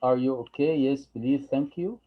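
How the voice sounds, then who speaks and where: A man's voice: medium pitch, a little slow. Patient man drilling English phrases. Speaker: English teacher. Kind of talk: online English lesson. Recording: dull lo-fi recording.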